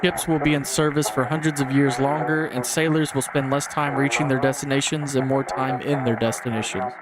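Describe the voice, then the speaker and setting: A man's voice: medium pitch, little pitch movement, a little fast, very noisy, close to an everyday voice. A man playing a solemn nineteenth-century diplomat and canal developer, speaking formally and grandly in an analog horror monologue. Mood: solemn, confessional.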